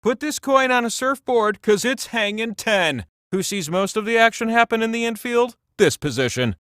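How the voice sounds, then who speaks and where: Theatrical, swooping cartoon game-show wheel. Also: male voice, extremely high pitch, lively intonation, moderate pace, fairly bright tone, clean tone, no echo, clean studio sound. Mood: playful, teasing. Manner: theatrical, mock-grand, wry. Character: talking trivia wheel, vain, playful, theatrical game show host. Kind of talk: scripted quiz hosting with comic asides.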